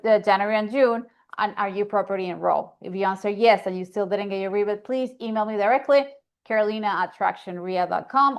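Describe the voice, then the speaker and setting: A woman with a Colombian accent giving brisk, friendly announcements to members in an online meeting. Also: mid-range voice, clean tone, highs cut off. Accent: Colombian Spanish. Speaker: association staff member.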